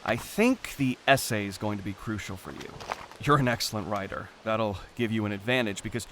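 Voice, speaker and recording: A man's voice: on the low side, a little fast, background noise, lightly acted. A man playing a concerned, pushy middle-aged father in an audio drama, earnest and coaxing.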